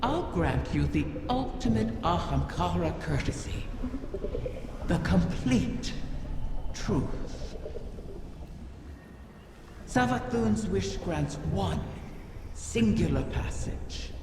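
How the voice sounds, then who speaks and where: A woman's voice: fairly low pitch, lively intonation, creaky, heavily acted. A woman voicing a sly, ancient female wish-dragon spirit in a video game, speaking theatrically with cryptic, silky taunts. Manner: slow, theatrical, silkily taunting. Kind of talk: dramatic video game dialogue. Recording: echoing long reverb, noisy game ambience.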